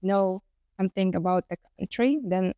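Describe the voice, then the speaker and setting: A woman's voice: mid-range voice, normal speed, dull audio. A woman from Kazakhstan whose first language is Kazakh, hosting an interview and asking careful, hesitant questions. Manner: careful, hesitant, with frequent filler sounds. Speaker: interview host.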